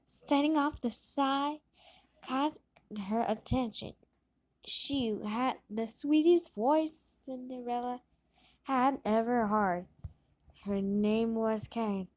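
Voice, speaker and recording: A woman reads a children's storybook aloud at a slow pace. Her voice sits fairly high, and her delivery is a little halting as she narrates. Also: a little mellow. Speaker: storybook reader.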